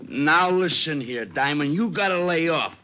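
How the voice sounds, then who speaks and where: A man's voice: fairly high pitch, lively intonation, unhurried. An actor playing a dim, grumbling male police sidekick with slangy, folksy speech in an old-time radio drama. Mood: dopey, grumbling. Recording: muffled, very noisy old radio recording.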